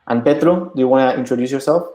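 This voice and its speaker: A man in his thirties from Argentina, a bootcamp instructor presenting a webinar in a relaxed way while setting up his slides. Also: mid-range voice, fast, echoey room. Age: 30s.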